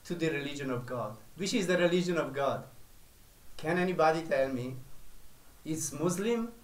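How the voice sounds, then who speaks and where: A man giving a spiritual sermon as a teacher, speaking slowly and deliberately with long pauses. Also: fairly high pitch, expressive, roomy sound.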